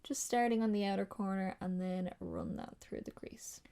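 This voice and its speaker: A woman's voice: medium pitch, huge pitch swings, moderate pace, vocal fry, warm tone. A woman talking casually through a makeup tutorial. She is slightly nasal from a sinus infection and rambles with frequent ums and likes. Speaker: beauty vlogger.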